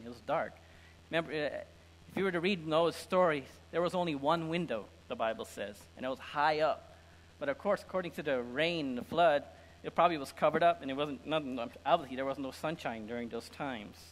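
A middle-aged man with a fairly high voice preaches in a reverberant hall. His delivery is informal and animated, a conversational sermon that slips into acted-out dialogue and humour. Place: indoor church stage with lectern and screen.

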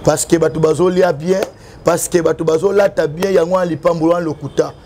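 A man's voice: a little high, steady tone, fast talker, a little mellow, slight background noise. A young man from Congo speaking French with a Congolese accent, a prophet who preaches fervently in an interview, mixing in Lingala and sometimes half-singing. He is recorded outdoors under cover on a lapel mic. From Congo (DRC). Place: covered outdoor terrace, tiled wall.

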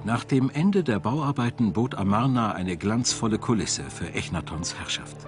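A man reads scripted documentary narration in a measured, articulate, storytelling way. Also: lowish voice, animated. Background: background music.

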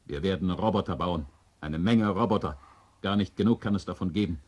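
A man's voice: sits low, brisk, darkish tone, breathy edge. An actor playing a devoted, eager male robot servant in a 1970 German radio play, deferential and insistent. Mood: eager, reassuring.

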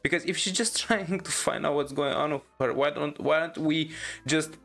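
A man's voice: on the high side, fairly bright tone. Casual, wry young male gamer. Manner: halting, repeats himself, wry. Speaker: gaming streamer. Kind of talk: casual gameplay commentary. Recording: game music behind the voice.

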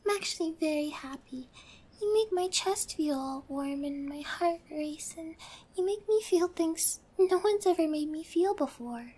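Woman to play possessive, volatile yandere girlfriend for ASMR roleplay: very high voice, unhurried delivery, slipping into whispers. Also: lively intonation, warm tone. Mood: unsettlingly sweet.

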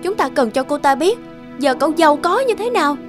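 A woman's voice: high-pitched, lively intonation, brisk. A woman voicing a blunt young friend who scolds and pushes with insistent questions in a cartoon. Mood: scolding. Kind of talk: cartoon voice acting. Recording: music under the voice. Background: background music.

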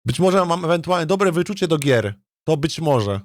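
A man's voice: fairly high pitch, big pitch swings, normal speed, dry recording. A man chatting casually as a game streamer, thinking aloud in a hesitant, rambling way and often repeating his words. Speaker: streamer, let's play host.

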